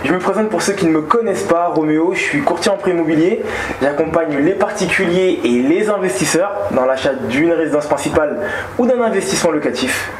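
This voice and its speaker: Upbeat high-voiced man host. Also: brisk, reverberant, background noise. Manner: upbeat, addresses viewer directly. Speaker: mortgage broker and channel host. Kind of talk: casual interview. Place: inside a building.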